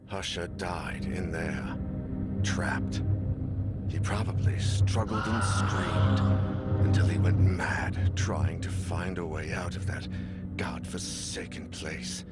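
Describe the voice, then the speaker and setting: A man playing a haunted, weary game character full of dread, grim and halting in his delivery, with dramatic acting. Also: low voice, steady tone. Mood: bleak, brooding. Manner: grim, halting, swearing under stress. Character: haunted, weary man searching an evil house, dread-filled. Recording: loud music behind the voice.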